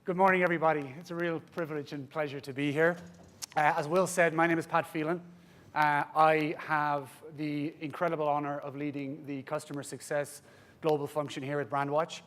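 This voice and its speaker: A man's voice: a little high, level tone. An Irish man from Ireland moderating a conference panel on stage, joking with the room and talking with frequent "uh" fillers. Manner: jokey, warm-up banter with pauses and "uh" fillers.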